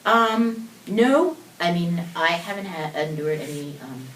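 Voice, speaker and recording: A woman's voice: lowish voice, animated, measured pace, far from the mic. A woman in her twenties, a guest on a talk show, answering in a casual, laughing, talkative way. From born in South Korea, raised in the United States. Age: 20s. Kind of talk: interview answers. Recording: roomy echo, voice from off mic, faint noise.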